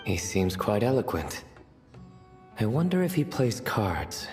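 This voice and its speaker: An easygoing, low-voiced young man. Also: sing-song, measured pace, darkish tone. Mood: wry. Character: easygoing young man, spirit's vessel, casual. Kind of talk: English video game voice acting. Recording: background music behind the voice.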